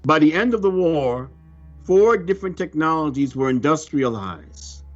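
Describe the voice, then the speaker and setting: Male reader, unhurried solemn scripted narration, emphatic, dull lo-fi sound, music behind. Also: fairly high pitch. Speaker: religious reader of a prophecy report. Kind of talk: scripted narration, read aloud. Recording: dull lo-fi audio under music.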